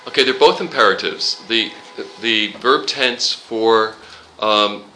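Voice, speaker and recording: A man leads a relaxed Bible class, quoting verses and joking with his students. His voice is pitched a little low but sounds very bright and forward. A faint hiss sits under the recording. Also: slow, poor audio. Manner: conversational, quotes scripture, jokes with the class. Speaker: Bible study teacher. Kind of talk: informal Bible class teaching.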